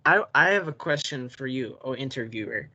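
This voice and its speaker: A man's voice: mid-range voice, animated, brisk, sharp tone, highs cut off. A young man guesting on a casual podcast over a voice call, speaking in slangy, playfully sarcastic banter.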